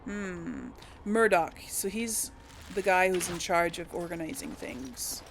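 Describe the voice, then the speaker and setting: A woman's voice: lowish voice, expressive, slow, slight background noise. A woman giving casual, musing game commentary, thinking aloud and speaking replies in character. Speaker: gaming video host.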